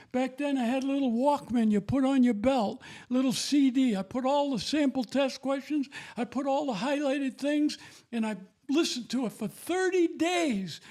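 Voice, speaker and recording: A man's voice: very high-pitched, warm tone. An older man giving an emphatic, repetitive, preachy testimony about faith and raising children.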